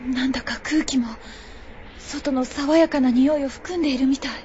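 A woman acting an earnest, tentative young woman on an expedition in a Japanese radio drama, with hushed delivery. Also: high voice, fairly even intonation, normal speed, dull audio, a little hiss or hum, natural voice. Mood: hushed wonder.

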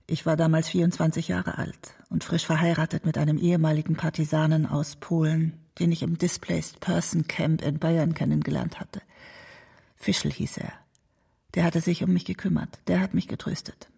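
An actress playing an elderly mother who survived the war, recalling her past in a grave, measured radio drama monologue. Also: low voice, gravelly, warm tone, husky, heavily acted.

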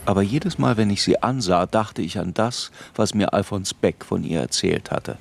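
Low-voiced reflective narrator. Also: male voice, normal speed, vocal fry. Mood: reflective, melancholy. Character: reflective man narrating his troubled youth. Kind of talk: scripted first-person literary narration.

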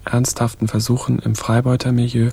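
A man's voice: mid-range voice, monotone, a little fast, vocal fry, warm tone, slightly rough. A man narrating a radio drama from a script in a matter-of-fact manner.

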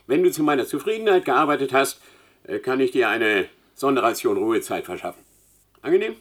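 An imperious male professor with a mid-pitched voice, in a radio drama. He talks down to people, pompous and demanding, like someone used to giving orders. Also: big pitch swings, brisk. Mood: patronising. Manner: pompous, condescending. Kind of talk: German radio play acting.

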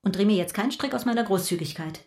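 An actress plays an exasperated mother in a radio drama. She is a sharp-tongued, middle-aged woman who scolds with heavy sarcasm. The room around her echoes clearly. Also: medium pitch, lively intonation, quick pace, lightly acted. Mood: indignant. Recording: roomy, echoing indoor sound.

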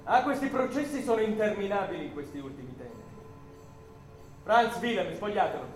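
Radio play officer: bossy, curt enforcer, very high-pitched, clipped orders, roomy echo, some music behind. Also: male voice, animated, a little fast. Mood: condescending. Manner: clipped, commanding. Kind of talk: theatrical radio drama acting. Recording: echoey room, faint music behind.

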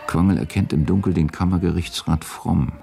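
A man narrating a radio drama from a script in a measured, sober manner. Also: sits low, steady tone, vocal fry, dark tone.

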